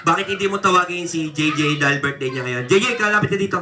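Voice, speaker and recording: A puppeteer hosting a live comedy quiz for children, exclaiming and mock-scolding in an animated way. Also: medium pitch, fast, background noise. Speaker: puppeteer and children's party host.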